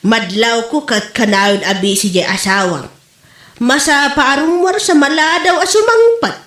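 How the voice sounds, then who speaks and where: A woman's voice: sits high, normal speed, a bit bright. Woman acting a nosy, scolding Ilocano mother in echo. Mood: suspicious. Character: nosy, scolding older mother stirring up suspicion. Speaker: actress in a comedy drama. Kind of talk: Filipino audio comedy drama acting. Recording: echoey room.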